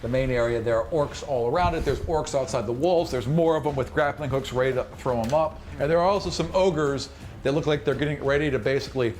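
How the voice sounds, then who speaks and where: A man's voice: medium pitch, quick pace, a little mellow. A man running a tabletop fantasy game as game master, improvising narration and acting out sound effects. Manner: acts out sound effects while describing action. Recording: noticeable background noise.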